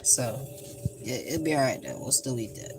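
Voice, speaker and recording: A young man with a Southern US accent casually talking through a cooking vlog in a flat, monotone, deadpan way, rambling and joking. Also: mid-range voice, normal speed, gravelly. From American South. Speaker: cooking vlogger. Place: home kitchen. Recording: noisy home recording.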